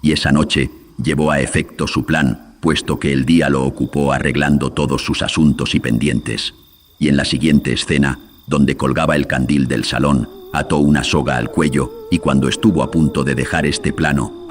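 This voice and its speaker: A man's voice: fairly even intonation, moderate pace, slight background noise. A man narrating a horror radio drama as an ominous storyteller, his voice processed. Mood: ominous, solemn. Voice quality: very deep, processed voice. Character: ominous storyteller of an old colonial horror legend. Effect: pitch and filter processing.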